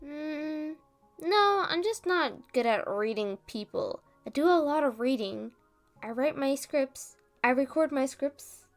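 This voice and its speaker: A woman playing a rambling, self-deprecating roommate in a casual ASMR roleplay, talking in a halting, defensive, conversational way. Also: sits high, expressive, faint music behind, exaggerated voice. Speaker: audio roleplay performer and script writer.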